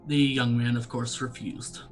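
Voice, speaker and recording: A man running a tabletop game as dungeon master, narrating in a measured, even storytelling voice from a home setup. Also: medium pitch, over music.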